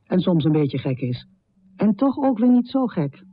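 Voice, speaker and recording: A woman's voice: on the low side, big pitch swings, normal speed, highs cut off. An actress playing a troubled housewife poet in her thirties, speaking an intimate, confessional radio drama monologue in dramatic phrases. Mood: wry, self-deprecating. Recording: thin, band-limited radio recording.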